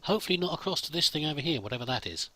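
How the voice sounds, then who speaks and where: A man's voice: fairly high pitch, very animated, racing, pushed and bright, poor audio. A man musing aloud as he plays, improvising in-character commentary and talking to his companion as a let's play host.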